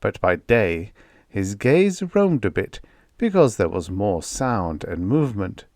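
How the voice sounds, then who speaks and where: A man reading a vintage science fiction story aloud as an audiobook narrator, in a measured storytelling delivery. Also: fairly low pitch, big pitch swings, darkish tone, no echo, studio quality.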